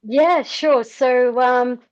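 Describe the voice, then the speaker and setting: A woman's voice: sits high, very slow. A middle-aged woman from South Africa telling her personal story in a frank, conversational, self-deprecating way as an interview guest. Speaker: podcast guest, endurance cyclist. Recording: dull, lo-fi audio.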